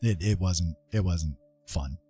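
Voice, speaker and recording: Low, husky man telling a rambling story. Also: slow, dark tone, dry recording, faint music behind. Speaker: livestream host.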